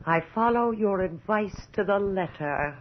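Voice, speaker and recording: An actress playing a haughty, cruel noblewoman in a radio horror drama, with theatrical, deliberate delivery. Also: mid-range voice, animated, slight background noise, close to an everyday voice. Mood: coldly imperious. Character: imperious, cruel noblewoman, mad with power. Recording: dull, muffled vintage radio recording.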